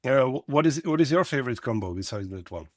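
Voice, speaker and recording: A middle-aged man, hosting a relaxed conversation in a thoughtful, lightly joking manner. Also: medium pitch, quick pace, no echo, clean studio sound. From Czechoslovakia. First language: Czech. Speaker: podcast host. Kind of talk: casual conversational interview.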